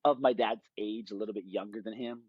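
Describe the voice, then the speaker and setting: A man's voice: fairly low pitch, steady tone, a little fast, slightly rough, no echo, highs cut off. A man, telling stories in a rambling, easygoing way as a podcast guest. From Hawaii. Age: late 20s. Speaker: guest, jiu-jitsu fighter. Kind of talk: casual podcast conversation.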